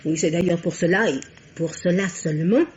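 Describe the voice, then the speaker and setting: A woman's voice: on the low side, brisk, darkish tone, slight background noise, close to an everyday voice. An actress playing a fussy, plaintive female relative in an old French radio mystery, prim and rambling. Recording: dull vintage radio sound.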